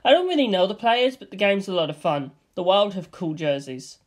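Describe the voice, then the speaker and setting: A man's voice: high-pitched, very animated, a little fast. A man voicing a terse masked male creature who speaks curtly while gaming.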